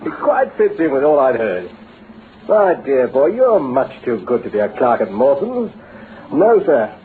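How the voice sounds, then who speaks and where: An actor playing a brisk, flattering British businessman who recruits with avuncular persuasion, in old-time radio drama style. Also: mid-range voice. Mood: flattering, confiding. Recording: tinny band-limited old radio recording, hissy.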